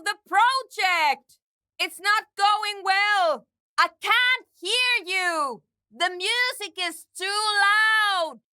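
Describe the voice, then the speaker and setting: A woman's voice: very high-pitched, pushed and bright, no room sound, clean studio sound, full character voice. An actress playing a weary, obsessive and prickly woman programmer in a video game, with a terse, precise way of talking. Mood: shouting, exasperated. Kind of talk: dramatic game dialogue.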